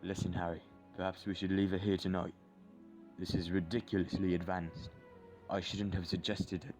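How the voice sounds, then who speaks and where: A grave wizard teacher played by a man with a low voice, patient and careful in guiding a pupil. It is audio drama acting with faint music underneath. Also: flat delivery, normal speed, darkish tone, close to an everyday voice. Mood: concerned.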